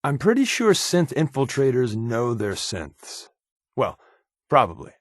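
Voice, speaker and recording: A sardonic American secret agent in a video game, a companion with glib, wisecracking patter. His pitch moves around freely in an animated delivery, and the sound is dry and close. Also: a little slow, dry recording. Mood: playful, deadpan. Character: wry, sarcastic undercover resistance agent and companion.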